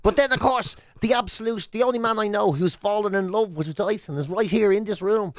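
An Irish man with a high-pitched voice banters as a radio co-host. He spins exaggerated comic stories and teases as he goes, recorded close and dry. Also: fairly quick, dry recording. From Ireland. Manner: exaggerated comic storytelling, teasing.

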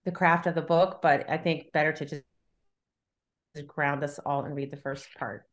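A woman's voice: low voice, steady tone, moderate pace. A woman from the United States talking casually as an author guest in an interview, explaining things thoughtfully. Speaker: debut novelist, guest.

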